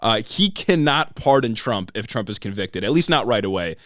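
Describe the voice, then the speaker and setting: A man's voice: fairly low pitch, expressive, normal speed, a bit bright, no echo, studio quality. A young American man co-hosting a podcast, explaining the news in casual, slangy and sometimes profane talk. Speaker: podcast co-host.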